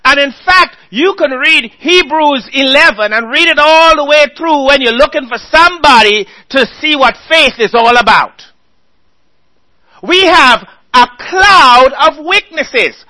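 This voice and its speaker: A man preaching a sermon, earnestly exhorting his listeners and reading scripture aloud slowly. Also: very high voice, lively intonation, sharp tone, rough recording.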